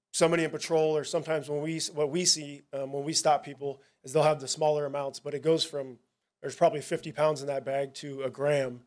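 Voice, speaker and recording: A man, a police narcotics officer, giving a casual, halting presentation at a town hall, with many fillers and restarts. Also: a little high.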